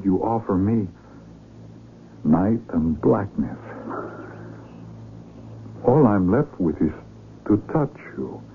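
An actor playing an anguished, tender mountaineer in a radio drama, speaking earnestly and poetically. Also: male voice, fairly low pitch. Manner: earnest, poetic, slow and deliberate. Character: anguished sighted mountaineer, tender lover facing blinding. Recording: narrow, noisy old AM radio recording.

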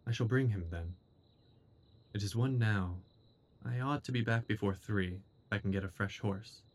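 A man playing a loyal Victorian gentleman, the detective's companion, in an audio drama, speaking earnestly and politely in a measured way. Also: lowish voice, lightly acted. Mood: dutiful.

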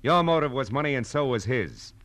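An actor playing a cool, sardonic private eye in 1940s radio drama style, with clipped, wry, tough talk. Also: male voice, medium pitch, animated, brisk, fairly bright tone, dry recording. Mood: pressing, accusing. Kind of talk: 1940s radio detective acting, first-person narration.

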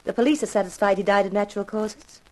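Casting: actress as self-possessed young widow, mid-pitched, curt and formal, vintage radio mystery. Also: female voice, steady tone, fast, natural voice, low-quality recording. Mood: controlled, pointed. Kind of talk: 1950s radio drama acting.

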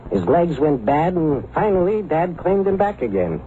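A man's voice: fairly high pitch, big pitch swings, normal speed, dark tone. A man playing a chatty, shrewd neighborhood bookie with clipped, breezy patter in a vintage radio drama. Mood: matter-of-fact. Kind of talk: 1950s radio drama acting. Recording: muffled, hissy old radio recording.

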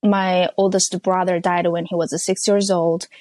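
Guest, woman, 30s, North Korean accent, Korean native speaker; measured, careful delivery over a phone line, low register, dry sound. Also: flat delivery, a little mellow, no echo. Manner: measured, careful, with frequent pauses and fillers. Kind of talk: personal testimony interview. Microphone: phone call.

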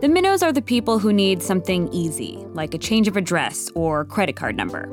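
A woman reading scripted narration for a comedic audio drama in a measured, wry storytelling manner. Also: on the low side, big pitch swings, soft background music.

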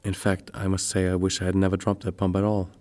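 A man's voice: deep voice, monotone, fast talker, darkish tone, natural voice. An actor playing a wry young German fighter pilot, reading his wartime diary in a dry, sardonic way. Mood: regretful. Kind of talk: dramatised first-person diary reading.

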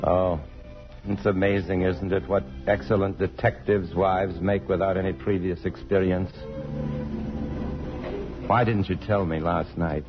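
An actor playing a restless, evasive husband and engineer in love, in a halting, earnest 1950s radio drama style. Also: male voice, low voice, flat delivery. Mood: concerned, wry. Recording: muffled vintage radio broadcast, faint music.

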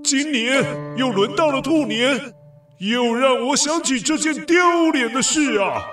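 A man voicing an arrogant, boastful dragon in a children's audio story, with haughty mockery and drawn-out words. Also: lively intonation. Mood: resentful. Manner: haughty, mocking, drawn-out words, booming laughs. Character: arrogant male dragon challenger, boastful and taunting. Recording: echoey, distant voice with music behind.

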